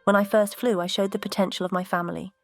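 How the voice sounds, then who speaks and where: A woman voicing a composed vintage aircraft character, telling her story in a measured, earnest cartoon dialogue style. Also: medium pitch, little pitch movement, faint music behind, lightly acted. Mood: earnest recounting. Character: composed, bitter but grateful female vintage jet museum exhibit.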